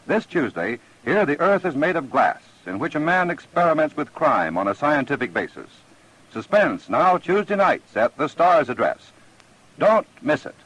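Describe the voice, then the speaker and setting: Animated, husky male radio announcer. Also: fairly high pitch, moderate pace, low-quality recording. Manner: emphatic, theatrical. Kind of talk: dramatic 1940s-50s radio promo announcing.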